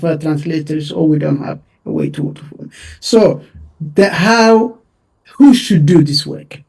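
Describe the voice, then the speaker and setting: A man's voice: sits high, warm tone. Hesitant man, distant from the mic. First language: Somali. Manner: hesitant, many fillers and restarts. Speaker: researcher guest. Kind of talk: interview discussion.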